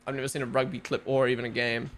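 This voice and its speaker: A man's voice: mid-range voice, little pitch movement, very fast, fairly bright tone. A young man with a New Zealand accent, rambling casually as a live stream host, full of fillers and swearing. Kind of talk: casual live watch-along chat.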